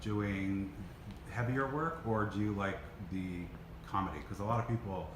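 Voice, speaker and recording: A man's voice: fairly low pitch, normal speed. A man hosting a casual interview, chatty and encouraging, often backing up his guest. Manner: chatty, encouraging, frequent "mm-hmm". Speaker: interview host. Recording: echoey room with noise behind.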